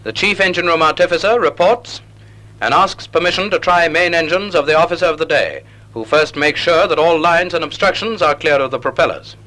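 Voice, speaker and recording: A man narrating a 1940s naval instructional film in a measured, didactic, precisely enunciated manner on a period film soundtrack. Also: fairly high pitch, sharp tone.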